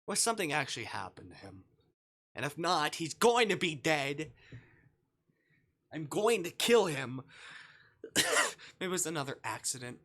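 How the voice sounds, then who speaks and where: Animated, bright-voiced man reading a visual novel. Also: fairly high pitch, normal speed, long pauses. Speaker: streamer. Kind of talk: dramatic read-aloud of a visual novel with asides. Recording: faint music behind the voice.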